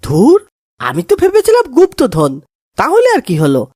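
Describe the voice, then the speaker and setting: Woman as young cartoon boy, excitable, naive, husky, very animated intonation. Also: high voice, normal speed, no room sound, clean studio sound, full character voice. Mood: curious, excited. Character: excitable, timid young boy, treasure-dreaming friend. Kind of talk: cartoon voice acting.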